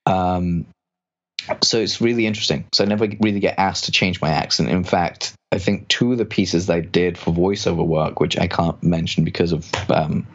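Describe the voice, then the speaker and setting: Deep-voiced man, transatlantic accent. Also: moderate pace, fairly bright tone, highs cut off. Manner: casual, chatty, hard R's. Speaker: copywriter and voice actor guest. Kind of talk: casual podcast chat.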